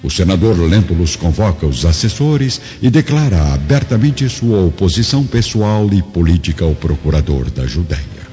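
Guy narrating a radio drama, solemn, low voice w big dramatic swings, music under it. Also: sing-song, normal speed, hardly any pauses. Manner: solemn, literary. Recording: dull lo-fi radio drama audio. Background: background music.

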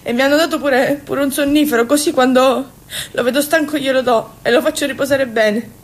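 A woman's voice: high voice, steady tone, brisk, lightly acted. An actress playing a naive, devoted Sicilian woman in an emotional stage monologue, with a Sicilian accent and a repetitive, childlike delivery. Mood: quiet, resolved. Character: naive, devoted Sicilian woman, fiercely attached to her cousin.

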